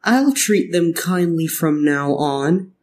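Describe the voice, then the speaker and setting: Man acting a whiny little princess, pitched up high. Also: animated, slow, darkish tone. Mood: pleading. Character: spoiled bossy little princess girl, later contrite. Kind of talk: children's storybook read-aloud.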